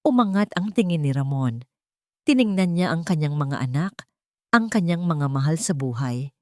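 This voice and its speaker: A woman narrating a scripted family drama in an earnest, sentimental way, in short deliberate phrases. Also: on the low side, a little mellow, no room sound, clean studio sound.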